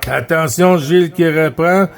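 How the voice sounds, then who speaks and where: High-pitched Quebec man on CB. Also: a little slow, gravelly, slight background noise. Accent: Quebec French. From Quebec, Canada. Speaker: CB radio operator running the round. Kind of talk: casual CB radio chat.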